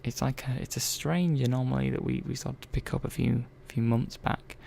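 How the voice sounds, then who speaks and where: Man acting as earnest robot technician, gravelly, dark soft voice, hesitant delivery, ASMR roleplay. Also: medium pitch, fairly even intonation, a little hiss or hum. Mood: musing. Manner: hesitant, halting, trailing off mid-sentence. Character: earnest, hesitant male robot repair technician, quietly protective.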